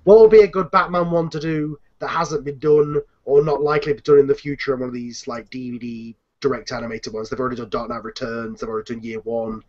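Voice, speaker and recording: A man's voice: medium pitch, steady tone, fast, hardly any pauses, darkish tone. A man casually hosting an online group chat, rambling along and correcting himself as he goes. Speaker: host of an online cast chat. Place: online group video call. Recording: dull, lo-fi online call audio.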